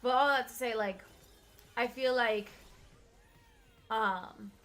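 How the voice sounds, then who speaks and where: A woman's voice: a little high, slow pace, fairly bright tone. A young woman from Miami chatting casually as a co-host, leaning on "like" as she talks. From Miami, United States. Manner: chatty, heavy use of "like". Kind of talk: casual chat.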